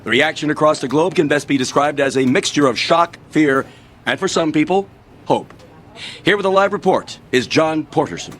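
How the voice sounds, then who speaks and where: An actor playing a grave, authoritative news anchor reading formally in a radio drama. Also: male voice, fairly high pitch, a bit bright, slight background noise, lightly acted. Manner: formal, measured.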